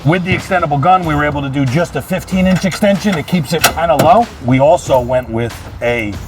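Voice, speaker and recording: A man's voice: fairly high pitch, brisk, far from the mic, music in the background. An American man, a fire chief, explaining equipment in a practical, plain-spoken way during a walk-through. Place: outdoors around a fire truck.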